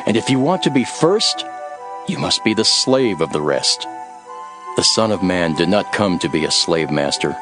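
A man playing a calm, authoritative religious teacher in a solemn, declarative way, in a dramatized scripture recording. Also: sits low, moderate pace, over music. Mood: calm, instructing. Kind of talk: dramatized Bible audio.

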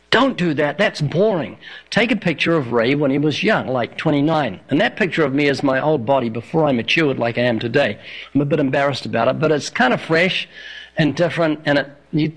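Self-mocking man with a slight New Zealand accent. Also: fairly high pitch. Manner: humorous, self-deprecating storyteller. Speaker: evangelist and author, radio guest. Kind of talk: interview guest.

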